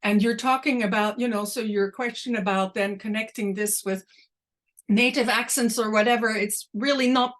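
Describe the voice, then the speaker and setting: A woman whose first language is Dutch, speaking deliberately and a little slowly as an invited academic answering questions on a video call. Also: fairly high pitch, steady tone, fairly bright tone. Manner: deliberate, slightly slowed and exaggerated. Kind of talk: online academic talk, Q&A.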